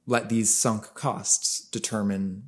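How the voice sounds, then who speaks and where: A man's voice: fairly low pitch, fairly even intonation, slow pace. Young man explaining casually. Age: young adult. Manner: explaining, casual, lots of "like" fillers. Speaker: podcast co-host. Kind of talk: casual conversation.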